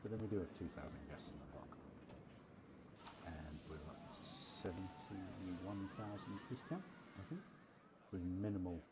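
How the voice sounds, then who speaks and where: A man's voice: low voice, expressive, a little slow. A man giving a casual, unscripted game walkthrough as a streamer, hesitant and often trailing off. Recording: loud background noise behind voice.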